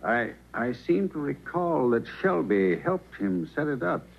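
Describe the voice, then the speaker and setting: Male professor: earnest, creaky, hesitant delivery, vintage muffled radio drama. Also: mid-range voice, sing-song, a little slow, full character voice. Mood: earnest recollection. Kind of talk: 1940s radio acting. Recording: muffled old radio recording.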